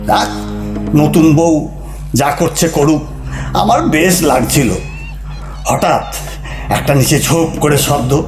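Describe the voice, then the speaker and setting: A man's voice: a little high, echoey room. An actor playing a mocking, argumentative husband who needles his wife in a theatrical comic audio play.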